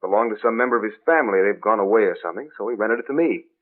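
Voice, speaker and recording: An actor playing a busy young husband, a small-town druggist, in 1930s radio drama style. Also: male voice, fairly low pitch, expressive, racing. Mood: hurried. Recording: tinny, phone-like 1930s radio recording.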